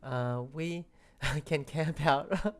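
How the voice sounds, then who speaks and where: A woman with a very deep voice reacts casually to a video game. Her commentary is unhurried, offhand and giggly, with faint music underneath. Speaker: streamer playing a game.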